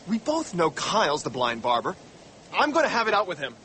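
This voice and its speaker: A man's voice: high voice, wildly swooping pitch, fairly quick, sharp tone, slight background noise, heavily acted. An actor playing a brash, impulsive young man in a radio drama, informal and direct in his talk. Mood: testy.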